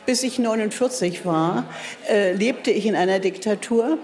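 A woman's voice: mid-range voice, normal speed, darkish tone. Older Berlin writer woman, hesitant, wry, self-mocking interview answers. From East Germany. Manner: hesitant, many fillers and restarts. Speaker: writer and guest speaker.

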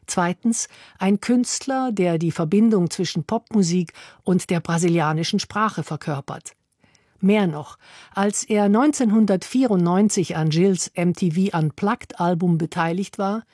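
A woman narrates a scripted radio feature in a measured, even read. Her voice sits a little low and sounds mellow and warm. The delivery is polished and steady. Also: no echo, clean studio sound. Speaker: radio presenter.

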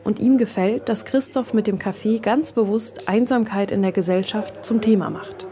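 A woman's voice: medium pitch, steady tone, normal speed, crowd noise. A woman reporter reads scripted narration for a radio reportage.

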